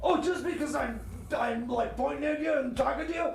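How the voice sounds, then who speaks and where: A man doing a high, creaky, frantic sidekick. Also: a little fast, a little hiss or hum. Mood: irritated. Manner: stammering, whiny, excitable, repeats himself. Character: frantic, flustered, exasperated sidekick hunting secret coordinates. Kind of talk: improvised comedy radio theater.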